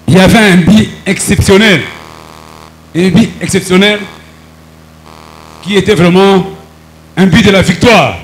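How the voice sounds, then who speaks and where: A man's voice: sits high, vocal fry, a little hiss or hum, low-quality recording. A man with a Congolese French accent reminiscing cheerfully as a radio show contestant. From Bukavu, DR Congo.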